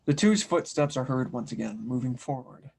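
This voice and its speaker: A man's voice: mid-range voice, expressive, moderate pace, gravelly, darkish tone. A man reading scripted narration and stage directions for an audio drama table read.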